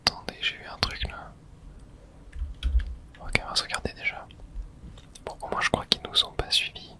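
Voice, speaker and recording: A person whispering informal ASMR commentary while playing a video game, muttering reactions with some mild swearing in a breathy, unvoiced voice. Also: moderate pace, pushed and bright. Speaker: gamer streaming playthrough for viewers.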